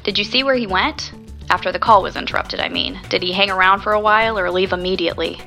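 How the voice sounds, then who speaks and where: A woman's voice: medium pitch, fast, sharp tone, faint music behind. Curious woman podcast investigator. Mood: friendly, inquisitive. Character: curious, eager female radio host investigating mysteries. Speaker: podcast host and narrator. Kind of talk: audio drama dialogue and first-person narration.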